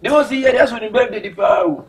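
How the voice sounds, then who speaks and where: A man with a Ghanaian accent acting in a comic sketch, talking fast and excitably. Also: extremely high pitch. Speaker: comedic actor. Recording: loud background noise.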